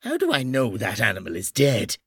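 Narrator acting senior policeman, stern, gruff, irritable, officious, audiobook villain, dry sound. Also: mid-range voice, huge pitch swings, a little fast, dry recording, heavily acted. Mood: officious, suspicious.

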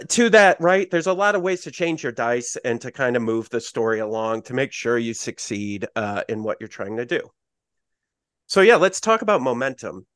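A man's voice: mid-range voice, dry recording, clean studio sound. A man acting as game master on a podcast, explaining dice rules in a loose, self-correcting way with plenty of fillers.

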